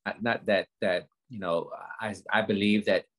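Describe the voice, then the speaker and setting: A woman in her fifties talking candidly as a guest, earnest and halting, often repeating phrases for emphasis. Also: a bass voice, steady tone. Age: 50s. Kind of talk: candid conversation, motivational talk.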